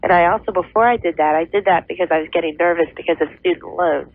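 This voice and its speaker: A woman's voice: lowish voice, fast talker. Young woman on a tinny phone line. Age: 20s. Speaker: phone-in caller, teacher. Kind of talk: casual advice call. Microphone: telephone. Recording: dry, tinny telephone line on radio.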